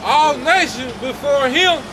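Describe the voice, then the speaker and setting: A man reading scripture aloud slowly and deliberately at a street sermon. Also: very high-pitched, expressive. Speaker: scripture reader. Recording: outdoor street recording with traffic.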